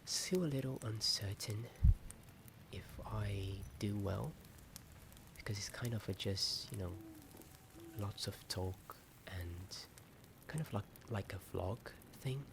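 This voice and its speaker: A man whispering a hesitant, rambling ASMR chat in a soft, often breath-only voice. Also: fairly low pitch, slow, vocal fry. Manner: hesitant, rambling, self-deprecating. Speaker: ASMR video host. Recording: strong ambient noise behind whisper. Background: added ambience sound.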